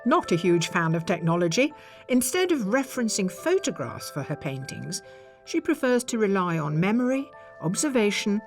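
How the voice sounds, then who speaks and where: Animated female narrator over soft music. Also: medium pitch, moderate pace. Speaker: presenter. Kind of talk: scripted narration.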